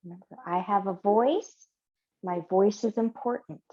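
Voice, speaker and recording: A middle-aged woman leading a yin yoga class, giving slow, gentle cues and affirmations while lying on a mat in a small home studio. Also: medium pitch, muffled recording. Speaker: yoga teacher. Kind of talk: guided yoga class instruction.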